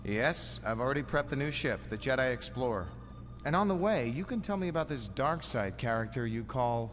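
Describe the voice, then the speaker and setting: An actor playing a calm, earnest Jedi leader in an audio drama, speaking in a reassuring way. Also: male voice, mid-range voice, moderate pace, soft background music, close to an everyday voice. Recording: loud orchestral score behind.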